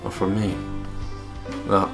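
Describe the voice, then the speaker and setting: A man reading visual novel narration aloud as a let's play host. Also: takes it slowly. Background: game music with guitar.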